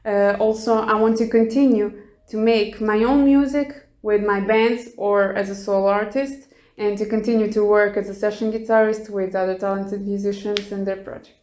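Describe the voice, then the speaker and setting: A woman's voice: medium pitch, fairly even intonation, normal speed, a little mellow, echoey room. A woman from Serbia with a Serbian accent, answering interview questions as a guitarist.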